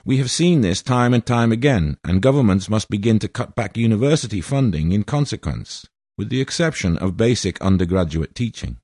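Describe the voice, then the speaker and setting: A man in his sixties from Wales delivers a prepared statement in a formal, grandiose and aggrieved manner. His voice sits somewhat low, and the recording is dry and close with no room sound. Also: expressive, brisk, few pauses, darkish tone, no room sound. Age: 60s. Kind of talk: prepared statement read aloud.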